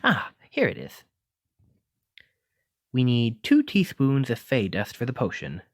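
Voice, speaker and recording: A man plays a clumsy but kindly wizard who runs a bookshop and teaches magic. His pitch moves in lively swings, and he is fussy, gentlemanly and quick to apologize. The ASMR roleplay is recorded dry, with very little room sound. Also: dry recording, full character voice. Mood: gentle, delighted. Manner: fussy, apologetic, gently courteous, stammering when flustered. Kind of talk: ASMR roleplay addressed to listener.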